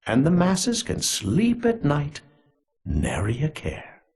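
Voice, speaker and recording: An actor voicing a sinister, aloof conspirator in a video game, savoring his words theatrically in a husky, raspy voice. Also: fairly high pitch, wildly swooping pitch, slow, darkish tone, soft background music, heavily acted. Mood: smug menace. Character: sinister, aloof conspirator gloating over a bioweapon pact. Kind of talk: scripted video game villain monologue.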